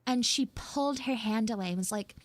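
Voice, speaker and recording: A woman voicing a sassy, flustered high school tsundere frog girl in a casual, blunt, rambling live audio roleplay. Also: fairly quick, no room sound, lightly acted. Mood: indignant, snarky. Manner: blunt, snarky, swearing, rambling asides.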